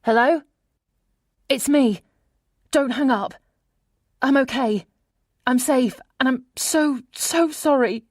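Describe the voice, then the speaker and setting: A woman's voice: high voice, takes it slowly, pauses often, no room sound, clean studio sound, pitched above the performer's own voice. A woman voicing an anxious, apologetic runaway wife in an audiobook. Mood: tearful, apologetic.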